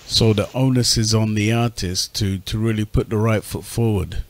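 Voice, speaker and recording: A man hosting an informal radio talk show in a polite, measured manner. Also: fairly low pitch, steady tone.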